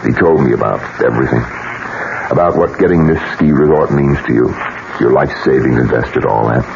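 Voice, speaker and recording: Deep gravelly, combative American businessman. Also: brisk. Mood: dry, somber. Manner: emphatic, dramatic, pressing with rhetorical questions. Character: determined, combative self-made businessman, a grieving widower. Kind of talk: 1970s American radio drama acting. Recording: noisy, muffled 1970s radio broadcast recording.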